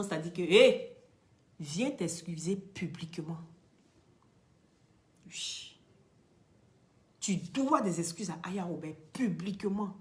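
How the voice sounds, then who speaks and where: A woman with an Ivorian French accent, French not her first language, giving a blunt, emphatic monologue to camera. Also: on the low side. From Côte d'Ivoire. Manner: blunt, emphatic, street slang, rises to loud outbursts. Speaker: online commentator.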